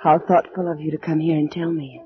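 A woman's voice: low-pitched, fairly even intonation, a little fast, natural voice. A woman acting a proud, passionate ancient Egyptian noblewoman in love, with a poised, formal old radio drama delivery. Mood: coy, teasing. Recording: dull old radio recording, faint music.

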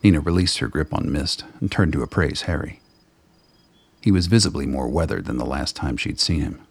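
A man reading scripted narration for a radio play in a deep, gravelly voice. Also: brisk.

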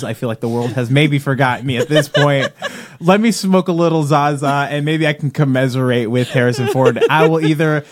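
Wry, slangy man with a mid-pitched voice. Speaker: podcast co-host. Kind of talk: casual movie discussion.

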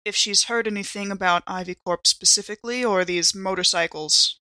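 A woman's voice: mid-range voice, a little fast, bright, forceful tone, no room sound. A woman playing in a tabletop game, chatting casually about the plan.

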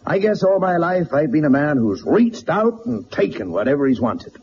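Proud, blunt tycoon, animated pitch. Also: male voice. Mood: defensive, regretful. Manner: halting, emphatic, confessional. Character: wealthy, proud, blunt middle-aged businessman, used to power. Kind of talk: radio drama acting. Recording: dull, noisy old radio drama recording.

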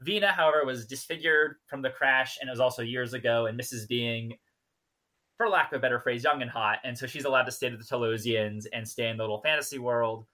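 A man's voice: mid-range voice, brisk, pushed and bright. A man co-hosting a podcast, recapping and chatting casually with wry asides. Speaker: podcast co-host. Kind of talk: casual chat and episode recap.

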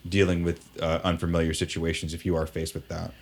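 A man's voice: low-pitched, completely flat delivery, quick pace, gravelly. A man co-hosting a casual podcast chat, chiming in and finishing others' sentences.

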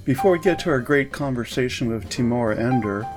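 A man's voice: medium pitch, expressive, fairly quick, music in the background. A male podcast co-host reading show notes and asking long, detailed questions. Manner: friendly, informal announcements and long questions. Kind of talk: podcast hosting.

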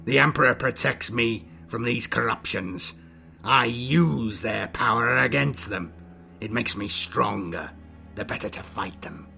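A man voicing a scarred, corrupted older inquisitor in an audiobook, with a hissing, gravelly voice and a slow, grandiose, scornful delivery. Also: medium pitch, bright, forceful tone, soft background music. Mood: confident, dismissive.

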